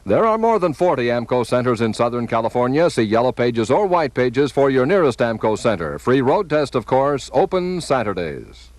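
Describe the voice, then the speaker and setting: A man's voice: medium pitch, sing-song, moderate pace, few pauses. A man with an American accent reading a scripted advertising voice-over as an announcer. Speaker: commercial announcer. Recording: faintly noisy 1970s TV tape.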